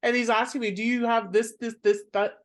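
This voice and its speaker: A soft-spoken woman, hosting a casual podcast chat, giggling often and filling her talk with "like.". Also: on the high side, flat delivery, a little fast. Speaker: podcast host and comedian.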